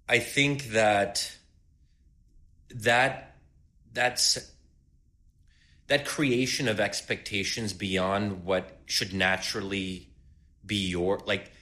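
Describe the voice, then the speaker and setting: A man's voice: deep voice, pushed and bright. A man co-hosting a podcast, thinking aloud in a casual intellectual discussion, with frequent fillers and light laughs. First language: English. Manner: laughs lightly while making points. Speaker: podcast co-host.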